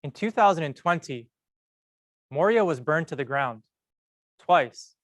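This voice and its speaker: A young man giving a prepared, storytelling talk at a medical school event, measured and earnest with slow emphatic pauses. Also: a little high, expressive, no echo, studio quality. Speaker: medical student speaker.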